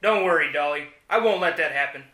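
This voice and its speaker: A man's voice: on the high side, fairly quick, bright, forceful tone, reverberant. A man playing an earnest, dashing cowboy hero in a comedy western radio play, gallant in manner.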